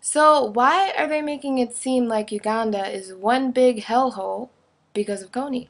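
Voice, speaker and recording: Young adult female vlogger, slightly high voice, casual and opinionated, blunt informal delivery. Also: normal speed. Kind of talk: casual opinionated video monologue.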